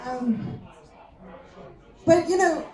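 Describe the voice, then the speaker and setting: A woman, a German speaker, performing a self-deprecating, conversational stand-up routine in English with halting pauses, recorded live in a venue. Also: very high-pitched, a little mellow, some chatter behind. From Austria. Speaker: stand-up comedian. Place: comedy festival venue, live on stage. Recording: echoey live room recording.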